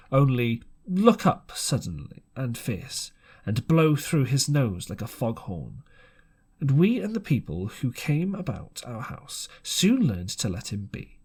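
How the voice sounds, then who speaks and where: A man narrating a classic adventure audiobook in an expressive, dramatic storytelling style, now and then stumbling over a word. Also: mid-range voice, breathy edge.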